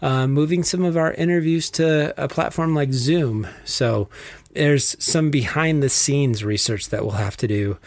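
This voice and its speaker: Male co-host, Texas accent, relaxed rambling chat, dry mic. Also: on the high side, a little slow, no echo. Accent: Texan. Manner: easygoing, rambling, laughs easily. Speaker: podcast co-host. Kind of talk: casual podcast chat.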